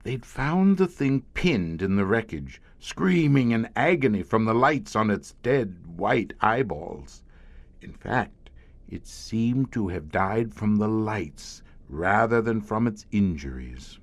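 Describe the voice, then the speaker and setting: An actor playing a weary, grim professor who commands a secret police squad, speaking formally and hesitantly in an audio horror drama. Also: male voice, on the low side, animated, dry recording. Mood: grim, recollecting. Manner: measured, hesitant, formal, darkly matter-of-fact.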